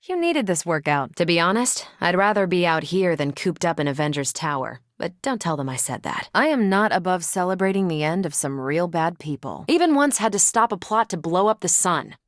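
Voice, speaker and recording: A battle-ready video game superheroine. Her voice sits on the low side and is recorded close and dry. She is loyal and self-assured, with a wry, teasing edge to her delivery. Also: very animated, a little fast, hardly any pauses, no room sound. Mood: wry, casual.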